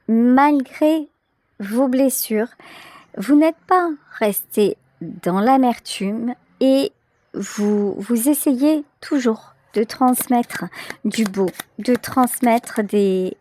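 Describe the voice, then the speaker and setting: A woman giving a tarot reading straight to the listener, reflective and pausing to search for her words. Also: medium pitch, warm tone, no echo. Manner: hesitant, reflective, searching for words with pauses and repetitions. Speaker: tarot reader, channel host. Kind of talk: intuitive tarot reading addressed to the viewer.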